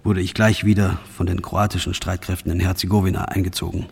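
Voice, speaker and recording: An actor playing a grim soldier who recounts his war service in a measured, matter-of-fact radio play monologue. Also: sits low, flat delivery, creaky, breathy edge, full character voice. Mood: sober, recounting.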